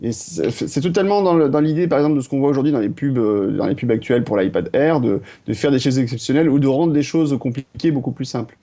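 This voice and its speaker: A man's voice: medium pitch, fast, few pauses, dark tone. A man on a tech panel who gives long, rambling explanations peppered with fillers. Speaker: panel guest. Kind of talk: casual panel discussion.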